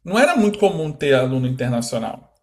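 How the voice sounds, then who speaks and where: A Brazilian man in his thirties from Rio, a guest talking casually in an interview, animated and full of fillers. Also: mid-range voice, fast talker. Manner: animated, quotes imagined speech, many fillers.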